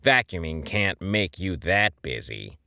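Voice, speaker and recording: A man's voice: low-pitched, sing-song, a little slow, gravelly, bright, forceful tone, clean tone, no room sound, clean studio sound, heavily acted. Guy doing a grumpy scolding squid dude, indignant and fed up, storybook reading. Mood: exasperated. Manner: scolding, exasperated. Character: irritable adult male squid, exasperated and indignant.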